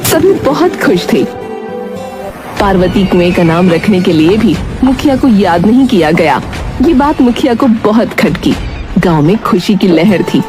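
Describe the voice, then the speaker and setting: A woman narrating a cartoon story in a steady, measured way. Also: medium pitch. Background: background music.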